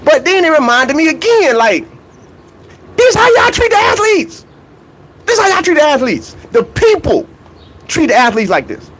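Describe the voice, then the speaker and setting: A man's voice: very high voice, very animated, moderate pace, pauses often, heavy background noise. A young man rants in a casual, comedic way about track and field. He laughs between points and keeps asking rhetorical questions.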